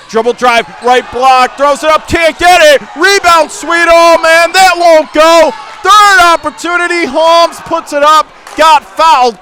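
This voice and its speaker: High-pitched male basketball play-by-play announcer. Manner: clipped, urgent phrases rising to excited calls. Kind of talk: live basketball play-by-play commentary. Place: indoor high school basketball gym. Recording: game crowd and gym noise behind.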